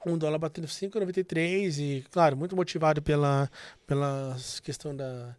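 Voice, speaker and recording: A man's voice: fairly high pitch, a little fast, darkish tone, dry recording. A man hosting a casual business podcast, explaining things conversationally with frequent fillers. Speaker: podcast host.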